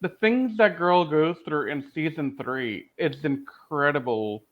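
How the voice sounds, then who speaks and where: A man with a slightly high voice gives casual, opinionated podcast commentary. He is emphatic and slangy, and his track sounds a bit dull and lo-fi. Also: a little slow. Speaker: podcast co-host.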